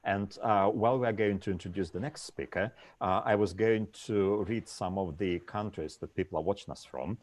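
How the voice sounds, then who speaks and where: A man's voice: low voice, normal speed, a little mellow, no room sound. A man moderating an online panel discussion over a video call, reading out lists of places with frequent "uh" fillers.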